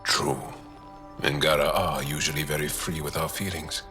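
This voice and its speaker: A man playing a thoughtful, hopeful alien in a video game, speaking in a measured, reflective way with deliberate pauses. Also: rumbling low, steady tone, bright, forceful tone. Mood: wry calm. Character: calm, awestruck male alien philosopher, thoughtful and hopeful. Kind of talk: video game dialogue acting. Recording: music under the voice.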